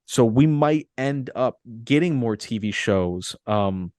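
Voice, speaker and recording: A man's voice: mid-range voice, lively intonation, slow pace, a little mellow, no echo, studio quality. A man chatting casually about movies as a podcast co-host, earnest and repeating phrases for emphasis.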